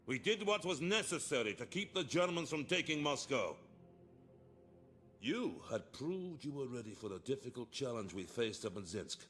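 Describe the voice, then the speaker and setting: An actor playing a hardline, zealous Soviet officer in a game cutscene, speaking in a stern, declamatory, authoritative manner. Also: male voice, mid-range voice, very animated, normal speed, a bit bright, slight background noise. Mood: stern, assured. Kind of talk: dramatic game cutscene dialogue.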